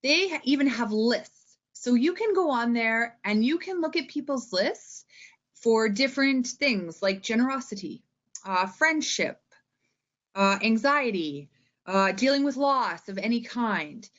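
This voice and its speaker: A Canadian woman giving an informal instructional talk in an expressive, chatty way that tends to wander. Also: on the high side, unhurried, lo-fi sound. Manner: animated, expressive, digressive. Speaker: teacher librarian and presenter.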